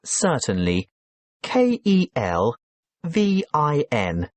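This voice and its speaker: A man's voice: on the high side, wildly swooping pitch, very slow, darkish tone, heavily acted. Polite business guy at a hotel desk, man acting it out, very up and down intonation, no room echo. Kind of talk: scripted listening-test dialogue.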